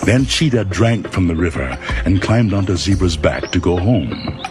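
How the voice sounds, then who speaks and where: Deep, animated male storybook narrator. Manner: dramatic, deliberate storytelling. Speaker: audiobook narrator. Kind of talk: scripted children's storybook narration. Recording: loud music and animal sounds behind.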